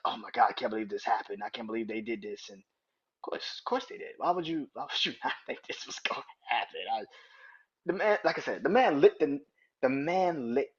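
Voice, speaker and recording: A young man runs a casual podcast countdown, swearing freely and breaking into laughter as he gets fired up. His voice is husky and mid-pitched, and the recording sounds dull and lo-fi. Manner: profane, excitable, laughs often. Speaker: podcast host. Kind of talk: casual ranking monologue.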